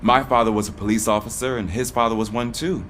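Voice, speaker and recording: Male actor as an eager new recruit for a game: mid-pitched, respectful, slightly hesitant, faint hum. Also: steady tone, fast, a bit bright, lightly acted. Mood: polite, earnest. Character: earnest, deferential young male rookie cop. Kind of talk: scripted video game acting.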